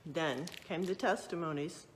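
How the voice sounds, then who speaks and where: A woman's voice: fairly low pitch, measured pace, slight background noise. A woman reading a picture book aloud expressively, adding explanatory asides and questions for young listeners. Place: museum exhibit space.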